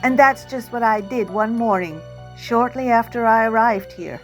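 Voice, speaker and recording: Expressive woman reading a children's audiobook. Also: on the high side, normal speed. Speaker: author reading own novel. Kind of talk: first-person audiobook narration in character as a child. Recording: music under the voice.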